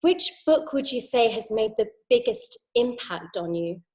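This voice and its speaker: Bright-voiced woman interview host. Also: a little high, expressive, a little slow. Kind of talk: friendly interview hosting.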